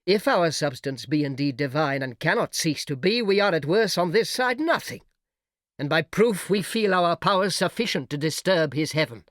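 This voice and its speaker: A man's voice: a little high, animated, normal speed, a bit bright, no echo, clean studio sound. A man playing a fierce, frowning fallen angel warrior who urges revenge with defiant declamation in an epic verse reading. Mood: grim defiance.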